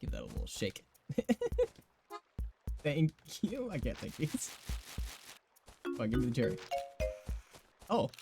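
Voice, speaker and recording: A young man in his twenties with a slightly raspy voice rambles through live game commentary. He giggles a lot and fills gaps with "um" and "like". His pitch leaps wildly from low mumbles to high squeals. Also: huge pitch swings, slow, long pauses, music in the background. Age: 20s. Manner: giggly, rambling chatter full of fillers. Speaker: streamer.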